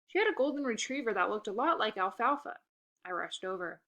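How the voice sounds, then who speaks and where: Animated, bright-voiced woman reading a storybook. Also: mid-range voice, brisk. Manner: expressive, occasional stumbles over words. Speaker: storybook reader. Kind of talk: animated children's book narration.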